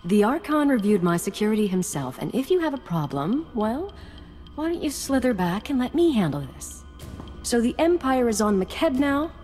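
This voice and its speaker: Haughty, animated, high-voiced executive. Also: female voice, moderate pace. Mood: scornful. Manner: scornful, sarcastic. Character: haughty, sarcastic female corporate executive. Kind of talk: video game voice acting. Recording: reverberant hall, faint music behind.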